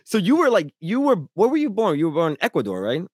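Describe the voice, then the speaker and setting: Brief: man, podcast host, quick delivery, lively and laughing, dry close sound. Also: high voice, dry recording. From grew up in New York City. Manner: laughs often, animated and joking. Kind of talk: casual conversational interview.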